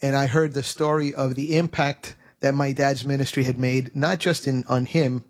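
Radio host-guest, male, average pitch, earnest and halting, lots of restarts. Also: steady tone. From United States. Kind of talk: conversational interview.

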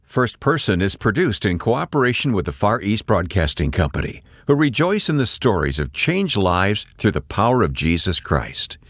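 A male radio host with a low, gravelly voice reads a measured introduction to an interview program. The sound is dry and close, with faint music underneath. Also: very animated, fairly bright tone, dry recording.

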